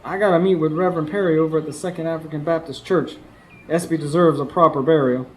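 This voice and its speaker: A man playing an earnest young stable hand in a radio play. His voice sits fairly high and carries a weary sincerity as he tells his tale. It was taped live on stage with faint background noise. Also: fairly even intonation, quick pace, natural voice, low-quality recording. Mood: gentle. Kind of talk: radio drama narration and dialogue.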